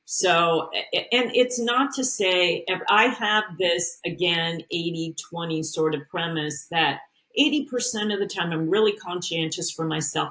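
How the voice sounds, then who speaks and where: A woman's voice: fairly low pitch, fairly bright tone, low-quality recording. A woman health coach, a guest on an interview show, giving earnest and emphatic advice in a casual way with frequent restarts.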